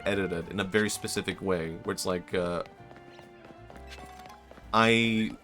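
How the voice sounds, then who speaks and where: A young man chats live in a very low voice, rambling and hesitant, full of fillers and restarts. He pokes fun at himself as he goes. Faint music plays under his voice. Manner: self-mocking, laughs softly. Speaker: streamer. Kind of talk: casual live-stream chat.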